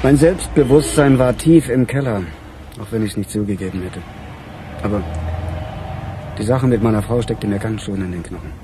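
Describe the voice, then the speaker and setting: An actor playing a weary middle-aged police chief in a radio crime drama, with a dry, musing, sardonic manner. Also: male voice, fairly low pitch, moderate pace, pauses often, background noise, natural voice. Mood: reflective.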